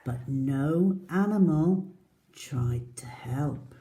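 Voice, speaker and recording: A woman's voice: low voice, lively intonation, extremely slow, warm tone. A woman reading a picture book to young children expressively and dramatically, often dropping toward a whisper. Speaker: children's storyteller.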